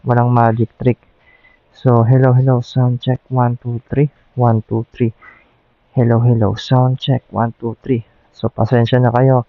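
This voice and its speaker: A man's voice: mid-range voice, completely flat delivery, a little slow, no echo. A man with a Filipino accent giving a casual vlog-style product demo, speaking informally into a helmet intercom mic. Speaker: motovlogger and product reviewer. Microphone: Bluetooth intercom inside motorcycle helmet. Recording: dull helmet intercom mic, loud noise.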